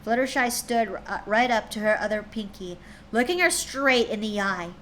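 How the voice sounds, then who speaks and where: Female streamer narrating a story live, casual and a bit stumbly, slightly high voice. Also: moderate pace, a bit bright, a little hiss or hum. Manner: chatty, stumbling over words while reading. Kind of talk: reading fan fiction aloud on stream.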